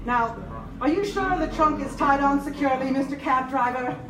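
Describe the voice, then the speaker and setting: A woman's voice: high-pitched, normal speed, crowd noise, close to an everyday voice. A woman playing a bossy, suspicious, snobbish spinster sister in a live 1930s-style radio drama, scolding and giving imperious orders. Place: live on stage in a large theater. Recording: distant, echoey theater stage, noisy.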